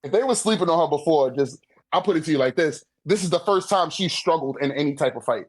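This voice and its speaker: Slangy American man, higher-pitched voice. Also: animated, quick pace, no echo. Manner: slangy, profane, repeats "like" and "you know what I'm saying". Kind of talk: casual chat, fan debate.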